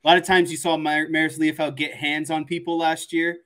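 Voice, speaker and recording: A man's voice: fairly high pitch, level tone, a little fast, bright, forceful tone. A man giving casual sports analysis on a podcast, explaining things and repeating phrases for emphasis.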